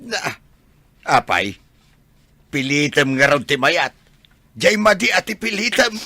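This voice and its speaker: A man's voice: a little high, sing-song, takes it slowly, pushed and bright, dry recording, heavily acted. A man playing an exasperated, bossy older village father in a radio drama, scolding and insisting with an Ilocano accent.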